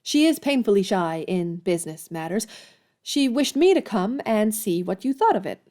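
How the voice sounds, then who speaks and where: A woman's voice: medium pitch, very animated, moderate pace, warm tone, lightly acted. An actress playing a proud, earnest young woman writer in a period audio drama, speaking formally and politely. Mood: polite, guarded.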